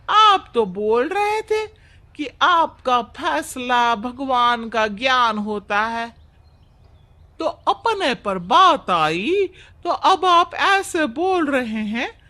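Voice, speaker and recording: Woman acting a shrill, scolding village woman. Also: very animated, very slow, bright, forceful tone, heavily acted. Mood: indignant. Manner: scolding, emphatic. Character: indignant village woman challenging the headman.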